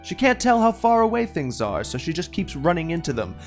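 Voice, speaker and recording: A man's voice: a little high, a little fast. A man playing the wry narrator of a comic detective audio play, mocking and self-aware in his delivery. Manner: sardonic, self-aware, animated. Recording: faint guitar music behind.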